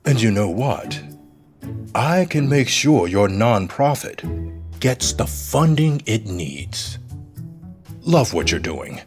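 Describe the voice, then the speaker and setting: An actor playing a slick, scheming, domineering city mayor in an audio drama, with a politician's smooth, bossy manner. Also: male voice, fairly low pitch, very animated, slow pace, pauses often, faint music behind. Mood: persuasive, smooth. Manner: smooth politician's charm turning to bossy irritation.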